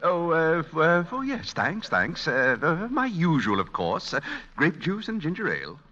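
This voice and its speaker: A man's voice: on the high side, very animated, normal speed, in a large hall. A man playing a prim, pompous, teetotal small-town committee man, fussy and stammering, in 1940s radio comedy style. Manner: fussy, throat-clearing, stammering. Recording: dull, lo-fi vintage radio recording.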